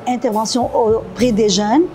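High, mellow Lebanese-accented woman. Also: fairly even intonation, unhurried, soft background music. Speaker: guest, café co-owner. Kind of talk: conversational interview answers. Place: indoor café.

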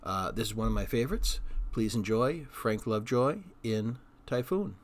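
A man's voice: lowish voice, lively intonation, moderate pace. Man introducing an old radio drama. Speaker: host of old-time radio reruns. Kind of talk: informal spoken introduction.

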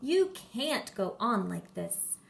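A large, stern storybook toad, acted by a woman reader. He scolds firmly and takes his time. The pitch moves around a lot. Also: very animated, slow pace, creaky, full character voice. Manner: firm, reproving.

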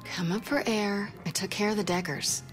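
A woman's voice: mid-range voice, steady tone, normal speed, lightly acted. A woman playing a tough, impatient gang boss in a video game, blunt and casual in manner. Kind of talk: video game cutscene acting. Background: background music.

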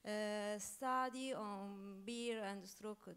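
A woman on a conference panel answering questions, speaking haltingly with many fillers and non-native phrasing, on a handheld mic in a large hall. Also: mid-range voice, steady tone, fairly bright tone, clean tone, soft background music. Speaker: panelist researcher. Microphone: handheld microphone.

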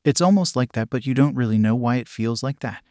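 A man's voice: mid-range voice, brisk, darkish tone, dry recording, studio quality, natural voice. Man reading as a teasing, chatty male friend. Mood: musing, casual. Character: teasing, easygoing adult male friend and colleague. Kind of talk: acted dialogue in story reading.

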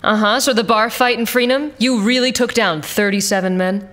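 A woman's voice: fairly high pitch, fairly even intonation, moderate pace, fairly bright tone, lightly acted. A woman playing a skeptical combat pilot in a science-fiction audio drama, sardonic and teasing in manner.

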